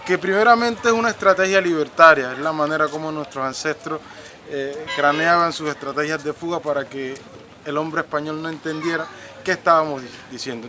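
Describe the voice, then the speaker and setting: A man from Palenque with a Colombian Caribbean accent, native Palenquero speaker, proudly explaining as a local guide. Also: on the high side. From San Basilio de Palenque, Colombia. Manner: earnest, proud, explains at length with pauses. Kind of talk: explanatory tour talk. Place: outdoors in a village. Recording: outdoor recording with loud street noise.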